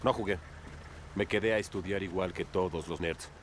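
An actor dubbing a proud, nerdy scientist superhero in a film, with a Mexican accent. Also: male voice, sits low, moderate pace, slight background noise, natural voice. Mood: smug, pointed.

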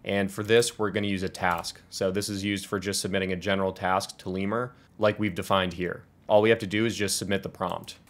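A man in his twenties with an American accent presenting a software tutorial in an explanatory, step-by-step way. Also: sits low, flat delivery, brisk, hardly any pauses. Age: 20s. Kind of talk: scripted software tutorial walkthrough.

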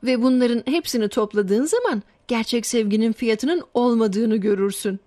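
Cartoon mum, played by a woman: highish, dry-recorded voice, caring and gently instructive. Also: normal speed, no echo, natural voice. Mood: tender, earnest. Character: gentle, wise, caring mother of a schoolboy. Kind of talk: children's cartoon voice acting.

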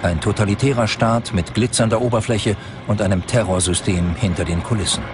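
A man reading scripted documentary narration in a measured, grave manner. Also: lowish voice, background noise.

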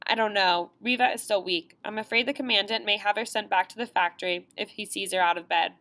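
A protective woman doctor in a camp sick room speaks gently and carefully. A woman reads her lines in an audiobook. The voice is close to the reader's own, mid-pitched and soft. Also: steady tone, fairly quick. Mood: worried.

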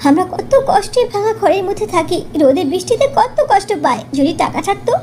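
A woman's voice: very high voice, lively intonation, brisk, mellow. A woman voicing a poor, innocent young village girl in an earnest cartoon style. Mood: earnest pleading. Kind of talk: Bengali fairy-tale cartoon acting. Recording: noticeable background noise.

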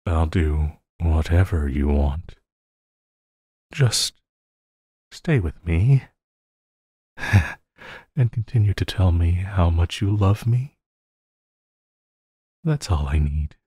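A man's voice: sits low, expressive, mellow, husky, dry recording, clean studio sound, exaggerated voice. A man playing an obsessive, lovestruck villain in an intimate audio roleplay, theatrical and giggly, playfully menacing and pleading. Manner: theatrical, slow drawling, frequent giggling.

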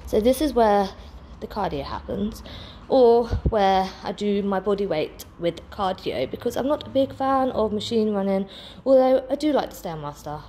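A woman's voice: mid-range voice, expressive, moderate pace, mellow, slight background noise. A young woman, a personal trainer, chatting casually as she films a walkthrough of a large indoor gym on a phone held at arm's length, upbeat and full of ums and restarts. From England. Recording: reverberant gym hall with faint ambience.

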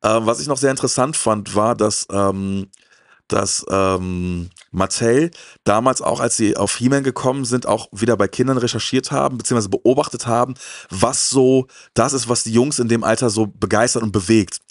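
A middle-aged man hosts a casual chat in an effusive, talkative way. His mid-pitched voice is caught dry on a close handheld mic, and he often doubles words for emphasis. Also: moderate pace, no echo. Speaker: show host. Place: indoor media collection room, seated on sofa. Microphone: handheld microphone close to mouth.

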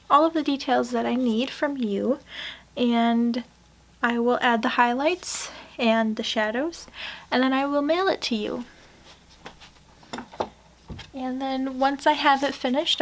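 A young adult woman speaking softly and politely up close, in an ASMR personal-attention roleplay as a portrait painter serving a client. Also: high-pitched, slow, pauses often, slight background noise. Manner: soft-spoken, polite customer service. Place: small indoor craft room.

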